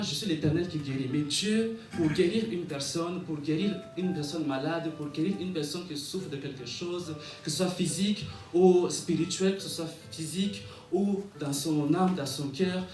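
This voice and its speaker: A man's voice: a little high, a little mellow. Man preaching in an echoey room over music. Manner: halting when reading aloud, repeats and rephrases phrases; rhythmic repetition, calls for amens. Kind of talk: sermon with scripture reading. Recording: echoey room, distant mic, music behind.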